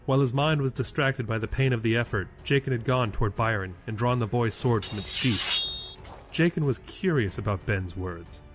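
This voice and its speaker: A man's voice: medium pitch, moderate pace. Man narrating dramatic fantasy over music. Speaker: storyteller.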